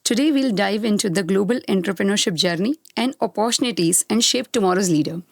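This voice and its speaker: A woman from India hosting a radio interview, talking briskly and switching between English and Hindi. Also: mid-range voice, gravelly, no room sound, studio quality. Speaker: host, professor. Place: studio.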